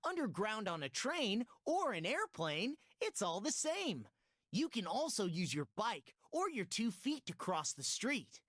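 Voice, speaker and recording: Animated, upbeat children's game guide. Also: female voice, on the high side, no echo, clean studio sound, full character voice. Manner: sing-song, instructive. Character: upbeat preschool game guide introducing each map. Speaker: narrator. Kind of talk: scripted rhyming children's game narration.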